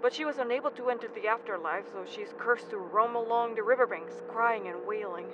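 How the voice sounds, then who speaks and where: A woman's voice: a little high, fairly quick, close to an everyday voice. A woman acting a nervous, earnest young man who speaks hesitantly in an audio drama. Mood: explaining, hesitant. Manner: stammering, hesitant. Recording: muffled, vehicle noise behind.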